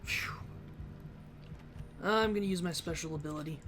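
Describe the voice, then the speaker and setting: A woman's voice: fairly low pitch, normal speed, very noisy. A woman giving casual, wry gameplay commentary, sometimes speaking in character as she plays. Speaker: let's play host, gamer. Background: game music.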